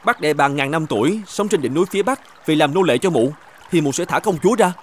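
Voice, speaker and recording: A man's voice: a little high, animated, quick pace, slight background noise, close to an everyday voice. A man voicing a brave, polite young knight in a children's cartoon. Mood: curious, determined. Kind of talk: children's cartoon fairy-tale dubbing.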